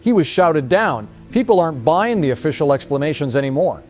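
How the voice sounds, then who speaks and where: A man's voice: on the high side, big pitch swings, brisk. A man playing an earnest field news reporter, giving a live report with emphatic, concerned storytelling. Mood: earnest, grave. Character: earnest male field reporter, shaken by events.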